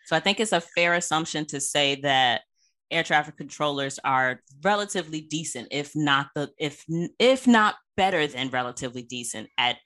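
Woman podcast host, low but bright voice, chill informal interview talk, agrees a lot. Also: lively intonation, normal speed. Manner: informal, affirming, colloquial phrasing. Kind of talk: casual chat interview.

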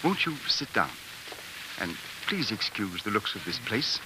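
An actor playing a polite, slightly flustered and hesitant publisher in a 1940s radio drama. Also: male voice, on the low side, sing-song, a little slow. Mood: cordial, fussy. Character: courteous, slightly flustered male magazine publisher. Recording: noisy, hissy 1940s radio recording.

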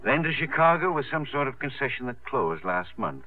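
An actor playing a gentle, devoted middle-aged father, measured and kindly, in a 1950s radio drama. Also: mid-range voice, very animated, some chatter behind, a little hiss or hum, natural voice. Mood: grave, advising. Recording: tinny, phone-like 1950s radio broadcast.

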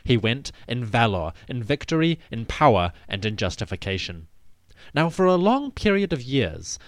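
A man hosting a history podcast, reading scripted narration in a measured way with theatrical emphasis. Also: mid-range voice, no room sound.

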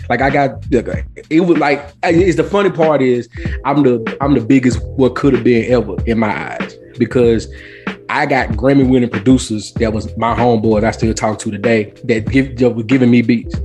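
A man's voice: medium pitch, normal speed. A man, telling stories casually as a podcast guest, emphatic and repeating phrases for effect. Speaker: podcast guest, former rapper. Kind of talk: casual storytelling. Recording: music playing behind the voice. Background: music.

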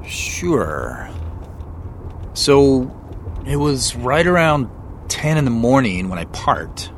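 Actor as polite, guarded man on a call while driving, hesitant, animated pitch, car noise around him, audio drama. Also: takes it slowly. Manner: hesitant, short guarded answers. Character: guarded, polite male driver taking a phone call. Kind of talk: naturalistic audio drama dialogue. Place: inside a car. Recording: steady car cabin noise.

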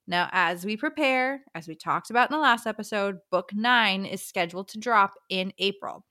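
A woman's voice: mid-range voice, normal speed, bright, forceful tone. A woman hosting a book podcast, chatting casually in a slangy, exclamatory way. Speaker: podcast host.

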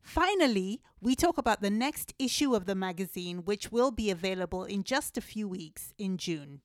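A woman's voice: mid-range voice, animated, normal speed, dry recording, studio quality. A woman hosting a podcast interview, reading a prepared introduction and then chatting in a friendly, upbeat way with her guest. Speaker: podcast host.

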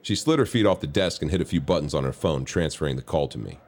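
An actor playing a brisk, skeptical FBI agent in an audio drama, asking clipped questions and narrating in the first person. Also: male voice, very deep voice, animated, darkish tone, heavily acted.

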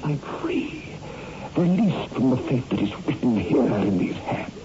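An actor playing a tormented married doctor driven toward murder, halting and melodramatic, in 1960s radio horror style. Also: male voice, medium pitch, big pitch swings, over music. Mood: brooding, tormented. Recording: muffled, noisy old radio recording.

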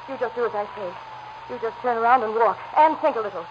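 Lady acting a cool femme fatale, quick, controlled and firm, muffled noisy old radio drama. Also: on the high side, lightly acted. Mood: firm, menacing. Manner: controlled, polite but firm. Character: cool, commanding mystery woman holding a gun.

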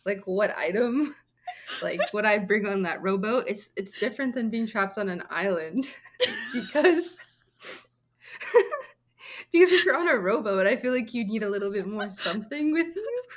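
A woman's voice: medium pitch, lively intonation, normal speed. A young woman co-hosts a podcast in casual chat, rambling playfully and giggling as she goes. Her voice sits in an ordinary middle register for a woman. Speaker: podcast co-host.

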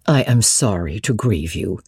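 An anxious old widowed housekeeper, acted by a woman narrator, speaking in a lowered, mellow voice. She is halting, fretful and cautious, as in a dramatized classic audiobook. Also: expressive, husky, dry recording, studio quality, full character voice. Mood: gently cautioning.

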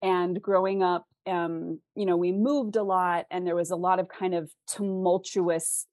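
A woman's voice: fairly low pitch, steady tone, measured pace, a little mellow, no room sound. A woman speaking casually as an author being interviewed on a podcast. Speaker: podcast guest, fantasy author.

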